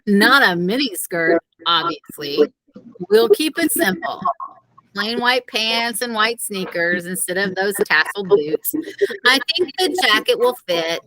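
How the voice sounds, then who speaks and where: A woman's voice: medium pitch, big pitch swings, sharp tone, dry recording, low-quality recording. Woman playing a firm, fair-minded coach. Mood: matter-of-fact. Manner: firm, measured, admonishing. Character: firm, fair-minded female science teacher and cheerleading coach. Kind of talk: children's audiobook character reading.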